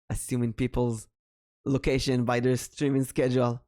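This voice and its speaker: A man's voice: medium pitch, dark tone. A man from Israel with a Hebrew accent, hosting a casual podcast and telling stories with frequent hesitations. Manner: storytelling, hesitant with many ums. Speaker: podcast host.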